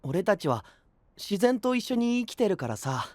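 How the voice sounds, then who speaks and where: A man's voice: high-pitched, very animated, normal speed, no echo. An actor playing an easygoing, friendly young man with a light, casual manner in an anime audio drama. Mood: wistful, resigned.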